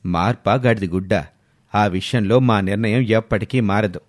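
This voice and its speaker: A man voicing a stern, scornful middle-aged father in a moral story, gruff and dismissive. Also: lowish voice, moderate pace, voice pushed down, close to an everyday voice.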